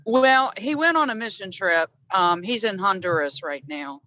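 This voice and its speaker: A woman chatting on a group video call in a friendly, measured way. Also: mid-range voice, expressive, bright, forceful tone, highs cut off. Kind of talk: casual group video chat.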